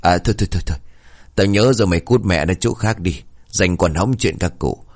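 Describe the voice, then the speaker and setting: A man's voice: sits low, brisk, a little mellow. A man voicing a deaf, cranky old village man near ninety who mishears and scolds crudely. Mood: grumpy.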